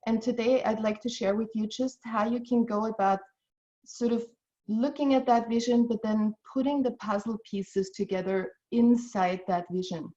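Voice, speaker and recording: A woman's voice: on the high side, steady tone, dark tone, muffled recording. A woman in her forties from Austria, giving an earnest, encouraging financial talk as a guest on a video call. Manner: earnest, encouraging, measured explaining. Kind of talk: webinar presentation.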